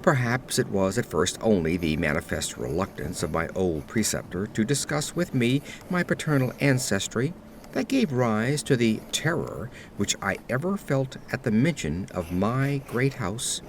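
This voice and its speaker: A man playing a melancholy aged nobleman who recounts his accursed life in formal, measured gothic horror narration. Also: on the low side, big pitch swings, a little hiss or hum. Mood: somber, melancholy. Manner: formal, measured, literary storytelling. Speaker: narrator of an audio drama.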